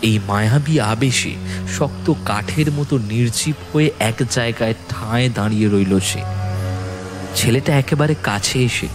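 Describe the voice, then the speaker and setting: A man's voice: mid-range voice, unhurried. A man narrating a scripted horror story in a dramatic storytelling style. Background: music.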